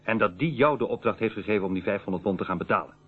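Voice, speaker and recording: A man's voice: fairly low pitch, very fast, husky. A man acting in a radio play as a frank, courteous office boss. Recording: muffled, narrow-band old radio recording.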